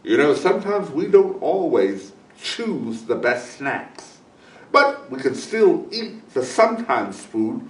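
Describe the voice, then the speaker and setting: A man reads as a great, kindly eagle from a children's storybook, proud and patient. The delivery is slow and very melodic, encouraging like a teacher's. It sounds roomy, picked up from a distance. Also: on the high side, big pitch swings, pitched above the performer's own voice. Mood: patient teaching. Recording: roomy echo, distant microphone.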